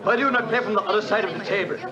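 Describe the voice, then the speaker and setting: An actor playing a bitter gambler. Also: male voice, sits high, close to an everyday voice. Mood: resentful. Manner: pleading, resentful, halting English. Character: desperate German gambler, middle-aged man, bitter. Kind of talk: 1940s radio acting. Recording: dull old radio broadcast, casino crowd.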